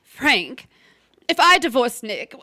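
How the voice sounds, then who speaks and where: A restless young wife in a noir stage drama, hungry to be somebody. Her voice is high and bright, swinging widely as she pleads and schemes with intense emotion. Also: big pitch swings, slow, heavily acted. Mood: pleading. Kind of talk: live radio-play style crime drama acting.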